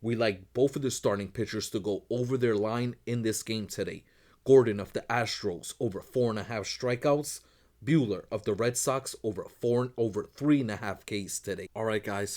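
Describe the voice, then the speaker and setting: A man who is losing his voice, running quickly through his sports betting picks as host, in a brisk set routine. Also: on the low side, a little mellow. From Northeast United States. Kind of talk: rapid betting picks rundown.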